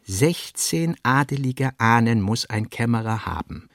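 A man's voice: mid-range voice, lively intonation, unhurried, a bit bright, no room sound, studio quality. A man giving voice to an indignant, genteel old aristocratic count, with a grumbling, Viennese-tinged colloquial manner.

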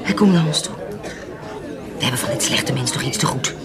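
Over a loud crowd comes a deep-voiced woman adventurer of the eighteenth century. She is shrewd and possessive, teasing and probing her partner, and used to giving the orders. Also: lively intonation, fairly quick. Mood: hushed, urgent. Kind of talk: Dutch radio play acting. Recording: busy crowd chatter behind the voice. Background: loud tavern crowd.

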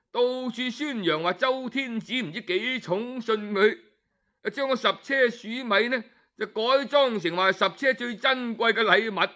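A man with a Cantonese accent telling a historical tale colloquially, with drawn-out particles and interjections. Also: sits high, pushed and bright. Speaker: storyteller. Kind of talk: lively traditional oral storytelling of historical tales.

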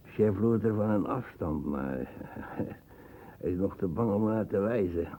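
An actor playing a suspicious, cantankerous old colonial uncle with a gravelly, husky voice in a radio drama. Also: male voice, on the low side, slow, warm tone. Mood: boastful. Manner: brooding, cantankerous.

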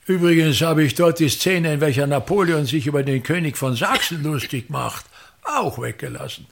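An actor playing a pompous aging theatre director in a radio drama, speaking in a grandiloquent, complaining monologue. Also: male voice, a little high, expressive, normal speed, vocal fry.

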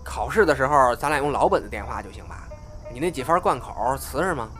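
Audio drama: cheeky youngest brother , male actor, young, animated pitch, music underneath. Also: fairly quick, close to an everyday voice. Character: cheeky, eager young man, youngest brother, crosstalk partner. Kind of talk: Chinese audio drama acting.

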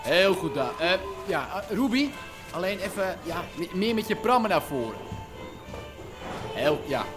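Testy, high-voiced Amsterdam club man. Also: very animated, a little slow. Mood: instructing. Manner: blunt, crude, instructing. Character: testy young strip-club choreographer, the boss's grown son. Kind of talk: radio drama acting. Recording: music playing behind the voice.

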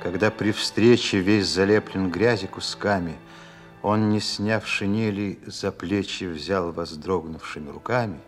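Solemn radio play narrator, really low slow voice, reciting verse over music. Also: male voice. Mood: grave, tender. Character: solemn, compassionate male narrator speaking in verse.

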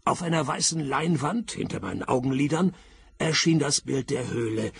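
An actor in a radio play playing a wry, world-weary private detective in a deadpan, sardonic manner. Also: male voice, medium pitch, normal speed, gravelly, husky, full character voice. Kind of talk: radio play narration and dialogue.

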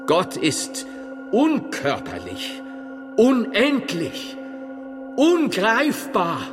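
An actor playing a learned, solemn and modest medieval friar and philosopher in a German radio play, speaking in a measured, didactic way. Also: male voice, high-pitched, huge pitch swings, exaggerated voice. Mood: solemn, lecturing. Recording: long cavernous reverb, music underneath.

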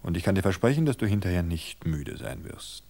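A man voicing a controlling, suspicious husband who speaks with quiet amusement. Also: low voice, animated, brisk. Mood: sly. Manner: amused, insistent. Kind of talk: audiobook reading of a thriller.

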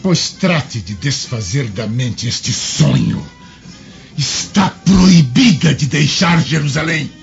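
Gravelly, imperious, domineering man. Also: on the high side, exaggerated voice. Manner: imperious, theatrical. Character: stern, domineering husband, scornful and commanding. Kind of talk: radio drama acting. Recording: dull lo-fi radio drama, music behind.

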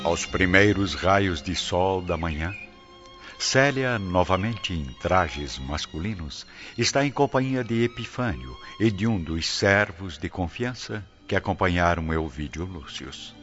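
A man with a Brazilian accent giving solemn, measured, scripted narration for a radio drama. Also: low-pitched, expressive. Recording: dull lo-fi recording with music bed. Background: soft music.

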